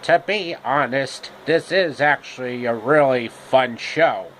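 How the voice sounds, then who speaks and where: A man narrating a homemade story with deliberate, halting phrasing. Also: medium pitch, a bit bright, slight background noise.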